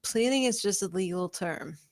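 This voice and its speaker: A woman's voice: mid-range voice, expressive, normal speed, dry recording, studio quality, lightly acted. A woman playing a plain-spoken, coaxing defense lawyer in a comedy table read, matter-of-fact. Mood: patient, coaxing.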